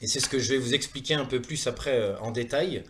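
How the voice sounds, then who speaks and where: A man in his thirties with an accent from France gives a semi-prepared presentation in an earnest, hesitant way, often pausing and repeating words. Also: mid-range voice, slight background noise. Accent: French from France. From France, Saumur in Maine-et-Loire. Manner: earnest, hesitant, with frequent pauses and word repetitions. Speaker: presenter of a wellness training programme.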